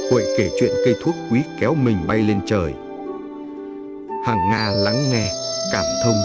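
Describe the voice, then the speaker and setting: A man narrating a legend from a script as a storyteller. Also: mid-range voice. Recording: loud music under the voice. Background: loud instrumental music.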